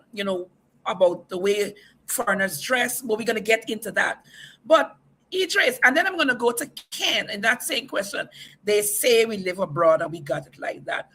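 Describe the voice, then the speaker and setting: Bright-voiced upbeat talk-show host. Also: mid-range voice, low-quality recording. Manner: upbeat, repeats calls to share the stream. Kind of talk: hosting a livestream talk show.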